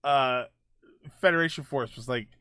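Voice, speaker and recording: Man, livestream host, crisp bright tone, close dry sound, casual halting chat, repeated phrase openings. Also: mid-range voice, dry recording. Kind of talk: casual chat with live viewers, gaming and film talk.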